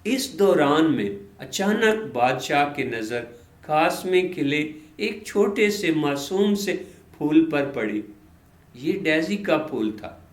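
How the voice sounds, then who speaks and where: A man narrating a scripted moral story in an expressive, dramatic way. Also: on the high side. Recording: roomy echo on the voice.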